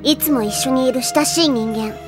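A woman's voice: high-pitched, moderate pace, over music, natural voice. A woman playing a bossy, self-important teenage girl detective in an anime audio drama, commanding and self-assured. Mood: grave, assured.

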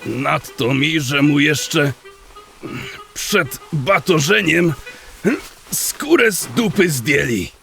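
A man playing a wary, grumbling old dwarf thief in an audio drama, with a gravelly voice and rustic, archaic dialect. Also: fairly high pitch, expressive, drawn-out words, a bit bright, faint music behind, exaggerated voice. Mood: gossipy. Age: older.

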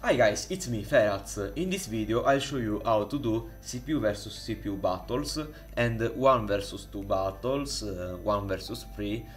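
A man with an Italian accent, speaking English as a second language, walks through a modding tutorial in a halting way, with many fillers and restarts, recorded on a desktop microphone. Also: lowish voice, over music. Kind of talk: casual screen-recorded tutorial. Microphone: desktop USB microphone.

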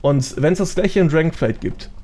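A man's voice: a little high, moderate pace, warm tone. A man chatting casually as a podcast guest, explaining things in slangy speech sprinkled with English gaming terms. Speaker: podcast guest, gold-making gamer.

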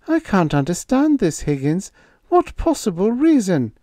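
A man voicing a young blind woman with a high, indignant voice. She is proud and firm, and the tone is mellow. The sound is close and dry. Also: very animated, a little slow, no echo.

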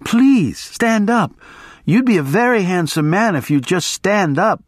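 A man's voice: sits high, expressive, measured pace, no room sound, studio quality, voice pushed up. A man voicing a clingy, insistent woman who pleads with a drunk at a bar. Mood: pleading. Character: pleading, clingy frog-eyed woman mooning over a drunk.

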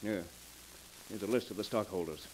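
A man's voice: lowish voice, normal speed, a little mellow, lightly acted. Brusque actor's male business boss, hissy recording. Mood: brusque, commanding. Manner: brusque, authoritative, emphatic. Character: anxious, commanding wealthy businessman fearing murder. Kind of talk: 1940s radio drama acting. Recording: hissy crackling 1940s radio recording.

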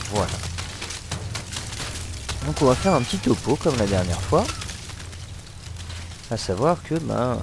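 A man with a cold talks his way through a video game, rambling and full of hesitant fillers. His voice sits slightly low, and clear game noise runs under it. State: has a cold. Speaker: let's play commentator. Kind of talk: casual gameplay commentary.

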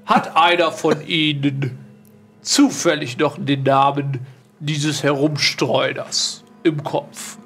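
A man playing a smug, condescending lord in an improvised audio drama, suave and deliberate. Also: fairly high pitch, fairly even intonation, fairly bright tone, breathy edge, soft background music, exaggerated voice. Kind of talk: improvised tabletop role-play audio drama.

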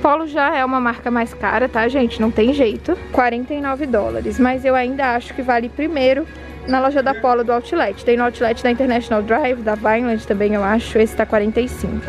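A woman with a fairly high voice talks casually to her viewers while she films her way through a big department store. She reads out prices and discounts and adds quick opinions as she goes. Faint store music plays behind her. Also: steady tone, low-quality recording. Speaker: shopping vlogger. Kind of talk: casual walk-through vlog. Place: large department store, indoors.

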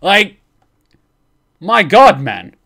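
A man's voice: sits high, very animated, very slow, pushed and bright. A young man giving casual, profane reaction commentary as a streamer, with a sarcastic, exaggerated manner.

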